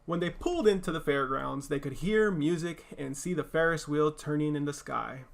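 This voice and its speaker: A man's voice: fairly high pitch, moderate pace, vocal fry. A man reading a children's story aloud as a teacher, with casual asides.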